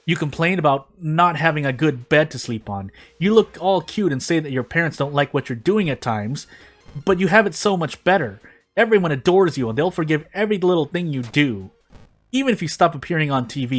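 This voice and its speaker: A man's voice: fairly high pitch, animated, fairly quick, pitched above the performer's own voice. Storybook role: wounded young witch played by a man, bitter and accusatory, ranting with passion, faint music underneath. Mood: furious ranting.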